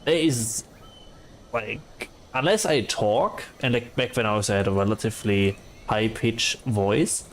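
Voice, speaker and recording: A man streaming live and chatting casually with his viewers, soft and calm, often pausing on fillers. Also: lowish voice, a little hiss or hum. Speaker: livestreamer.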